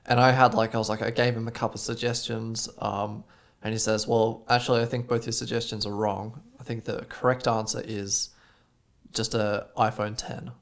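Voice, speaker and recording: A man's voice: on the low side, little pitch movement, normal speed, vocal fry. An Australian man co-hosting a podcast, rambling through stories in casual chat with lots of "like" fillers. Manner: rambling storyteller, frequent "like" fillers. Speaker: podcast co-host.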